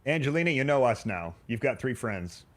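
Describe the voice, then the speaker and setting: Man, radio weather presenter, remote from home, dry quips, lively pitch. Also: fairly quick. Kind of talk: casual morning radio banter.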